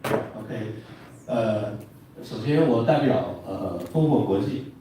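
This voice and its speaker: A man from China with a Chinese accent, giving a halting formal speech as a company representative. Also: lowish voice, expressive. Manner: halting, hesitant delivery. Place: large indoor hotel event room. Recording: very echoey hall, distant mic, faint noise.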